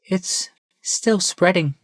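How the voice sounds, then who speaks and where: A man voicing a small, determined, earnest boy with a shrill voice in a fantasy audiobook. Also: slow, no echo. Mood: matter-of-fact. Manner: solemn, earnest, simple. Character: small determined boy, frightened but solemn.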